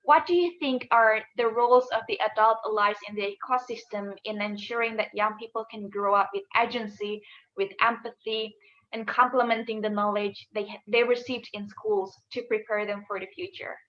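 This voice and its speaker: A woman's voice: mid-range voice, fairly even intonation, moderate pace, fairly bright tone. A woman moderating an online panel discussion in an affirming, encouraging way, on muffled call audio. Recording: dull, band-limited call audio.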